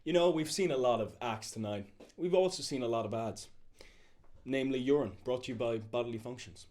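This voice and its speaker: A young man acts out a TV studio anchor in a parody broadcast. He presents as a co-host in a deadpan, mock-earnest way, treating silly material as serious news. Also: medium pitch, fairly quick, natural voice.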